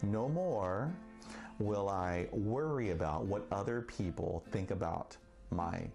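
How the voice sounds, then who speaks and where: Slow-talking man who stutters. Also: fairly low pitch, animated, creaky, a little mellow. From Texas. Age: 40s. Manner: stutters, slow and deliberate with pauses. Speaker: vlogger. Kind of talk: personal monologue. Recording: faint guitar music behind.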